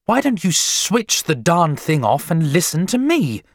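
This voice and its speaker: Man voicing an earnest, raised-pitch lady teacher. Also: big pitch swings, measured pace, a little mellow, no room sound, clean studio sound. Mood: indignant. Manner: earnest, well-mannered, firm. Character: polite, resolute, slim young woman schoolteacher.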